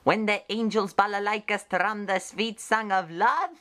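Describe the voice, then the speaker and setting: A woman voicing a fussy, excitable male goose in a cartoon fan dub, theatrical and sarcastic. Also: medium pitch, unhurried, lightly acted. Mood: grandly dramatic.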